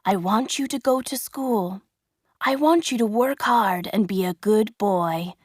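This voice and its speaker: A woman voicing a kind, poor old carpenter father in a storybook reading, speaking gently and softly. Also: medium pitch, unhurried, no echo, clean studio sound, natural voice. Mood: gentle. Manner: gentle and soft, sometimes whispered.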